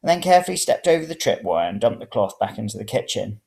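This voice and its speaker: Man reading a story, creaky voice. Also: lowish voice, very animated, fast talker, darkish tone. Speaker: reader of serial fiction. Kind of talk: first-person story reading.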